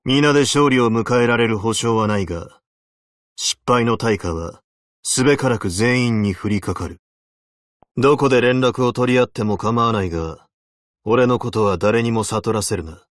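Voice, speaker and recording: A man's voice: medium pitch, moderate pace, no echo, clean studio sound, natural voice. A man voicing a stern, weary veteran abyssal hunter in a video game, speaking in a terse, solemn, commanding way. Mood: measured, stern. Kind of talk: Japanese video game character voice lines.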